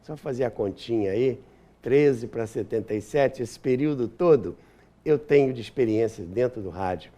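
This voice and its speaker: A man's voice: medium pitch, normal speed, mellow. A man in his seventies with a Brazilian accent, reminiscing in an interview in an emphatic, conversational way. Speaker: interview guest, radio veteran and author.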